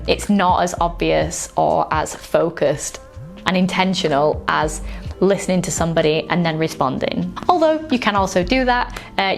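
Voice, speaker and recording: A young woman with a light Yorkshire accent, talking casually as an English teacher in an explainer video, with frequent "like" and "um" fillers. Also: on the low side.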